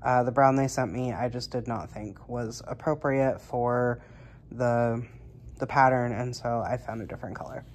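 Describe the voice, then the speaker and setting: Very low-voiced congested woman, chatty. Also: fairly even intonation, moderate pace, darkish tone. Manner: chatty, rambling, many fillers. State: congested, recovering from illness. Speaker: cross-stitch vlogger. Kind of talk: casual chat to camera.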